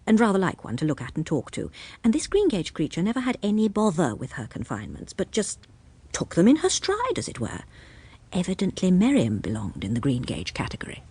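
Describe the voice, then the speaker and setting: A woman's voice: lowish voice, mellow, slightly rough, dry recording, heavily acted. A woman playing a novelist narrating a radio drama, with an arch, ironic manner. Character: woman novelist narrating, dry and wryly amused.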